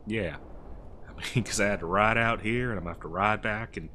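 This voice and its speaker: Man playing a low-voiced, slow-witted cowboy. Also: sharp tone, slight background noise. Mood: flustered, puzzled. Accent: American cowboy drawl. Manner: rambling, hesitant, folksy, slow-witted. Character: dim, good-natured cowboy mail rider lost in badlands. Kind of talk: improvised comedy audio roleplay.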